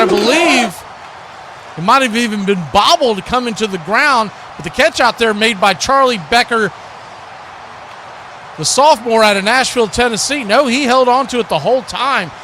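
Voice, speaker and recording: A man with an American accent doing excitable live play-by-play commentary of American football. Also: very high voice. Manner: excitable, calling action as it happens. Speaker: sports play-by-play announcer. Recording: clear background noise.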